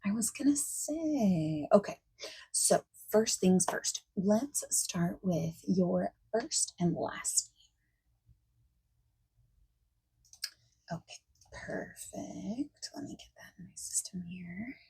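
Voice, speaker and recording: A woman playing a friendly, eager party planner in a soft-spoken ASMR roleplay, breathy and often near a whisper, chatty and reassuring. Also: medium pitch, animated, slow pace, full character voice, low-quality recording. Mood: helpful, busy.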